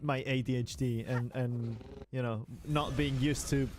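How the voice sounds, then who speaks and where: A man's voice: mid-range voice, steady tone, measured pace, a little hiss or hum. A man from Spain, a native Spanish speaker, chatting casually with his viewers while playing a game and laughing easily. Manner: chatty, answers chat, laughs easily, fillers like "like". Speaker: streamer. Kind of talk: casual live game stream chat.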